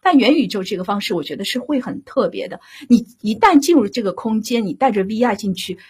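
A woman's voice: medium pitch, animated, quick pace, darkish tone, husky. A woman guest, a radio drama director, explaining her work conversationally and often checking in with rhetorical questions. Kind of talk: conversational panel discussion.

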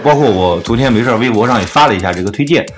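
Animated, fast-talking male podcast host. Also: medium pitch, soft background music. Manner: explanatory, opinionated. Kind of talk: casual music discussion.

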